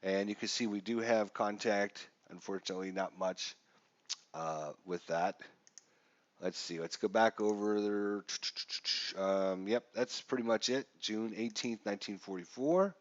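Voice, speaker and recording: A man's voice: fairly low pitch, measured pace, dry recording. Stuffy-nosed man hosting a game stream. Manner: casual, chatty with frequent fillers. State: stuffy, congested. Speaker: live stream host. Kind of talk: live wargame walkthrough. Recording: dull, dry lo-fi stream audio.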